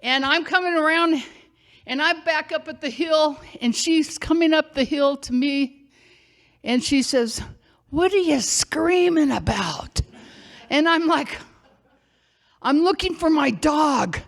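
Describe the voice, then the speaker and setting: An older woman telling a personal story live on a theater stage, chatty and animated, calling out loudly when she acts out scenes. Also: high voice, takes it slowly, creaky.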